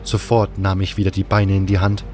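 A man's voice: sits low, completely flat delivery, fairly quick, darkish tone, faint music behind. A man narrating a scripted first-person fantasy audio drama.

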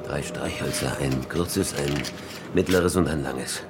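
An actor playing a starving shipwrecked man in a radio horror drama, grimly resolved yet soft-hearted, talking in a halting, urgent, persuasive way. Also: very deep voice, music in the background. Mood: pressing, grave.

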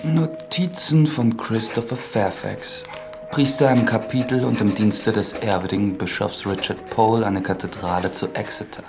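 Over prominent music, a male actor plays an observant priest with a low, creaky voice. He is formal, courteous and measured, in the manner of a radio drama journal. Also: close to an everyday voice. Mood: sober, observant. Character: dutiful, observant priest writing investigative notes. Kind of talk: German radio drama acting, journal narration.

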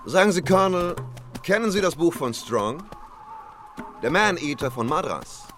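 A man acting in a German radio drama as an urbane, inquisitive British gentleman adventurer, polished, bookish and musing. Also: a little high, lively intonation, moderate pace, soft background music. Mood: urbane curiosity.

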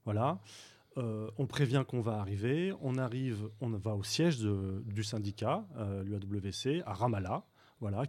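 A man speaking as a guest on a radio talk show, recounting his volunteer trip in a halting way with frequent fillers and restarts. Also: fairly low pitch, darkish tone. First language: Arabic speaker. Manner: hesitant, many fillers and restarts. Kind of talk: radio interview.

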